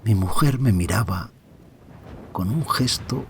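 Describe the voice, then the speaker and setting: A man playing an anxious married father who narrates a mystery audio drama in a brooding, hushed way, often dropping toward a whisper. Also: fairly low pitch, takes it slowly, gravelly, a little hiss or hum. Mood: uneasy, brooding. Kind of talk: audio fiction first-person dramatic narration.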